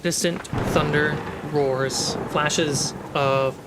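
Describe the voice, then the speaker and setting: A man's voice: fairly high pitch, fairly even intonation, drawn-out words, heavy background noise. A man narrating a tabletop role-playing game as its game master.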